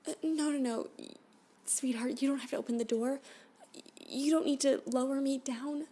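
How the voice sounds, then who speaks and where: A woman playing a flirty, clingy alien abductee in an ASMR roleplay, gushing and halting, often dropping to a whisper. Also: high-pitched, fairly even intonation, dark tone, natural voice. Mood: pleading, alarmed. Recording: background noise.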